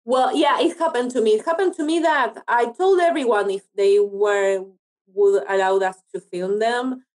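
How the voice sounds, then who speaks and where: A woman's voice: fairly high pitch, normal speed, a little mellow, low-quality recording. A woman from Argentina with an Argentine accent, a native Spanish speaker, talking casually as an interview guest and often searching for her words. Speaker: interview guest, actress and TV producer.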